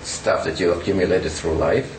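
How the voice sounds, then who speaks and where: A man's voice: deep voice, steady tone, moderate pace. Man talking from across an echoey room. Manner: emphatic, with many fillers. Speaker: interviewee. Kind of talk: casual recounting. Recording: echoey room, distant mic, faint noise.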